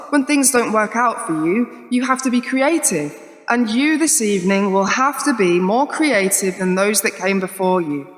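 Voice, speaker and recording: Young pragmatic company woman, slightly high voice, reasoned public speaking, wry, radio drama, strong room echo. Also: a bit bright. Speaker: actor in a radio play. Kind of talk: public speech in a radio play. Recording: echoey hall with long reverb.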